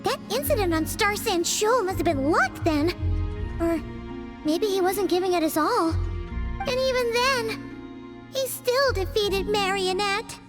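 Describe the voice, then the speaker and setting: A woman voicing a small, chatty, excitable female fairy companion in a game cutscene. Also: extremely high pitch, big-room sound, over music. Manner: chatty, blurts out reactions, quick to exclaim.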